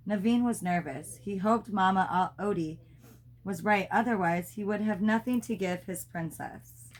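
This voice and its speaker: A woman's voice: mid-range voice, moderate pace, low-quality recording. A woman reading a children's storybook aloud, as a mother does for her child.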